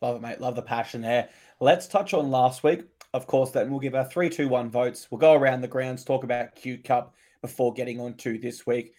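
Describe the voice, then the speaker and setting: Hoarse man hosting a footy podcast. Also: medium pitch, steady tone, moderate pace, darkish tone. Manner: enthusiastic, stat-heavy delivery. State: hoarse, voice recovering. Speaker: podcast co-host. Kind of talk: casual sports podcast chat.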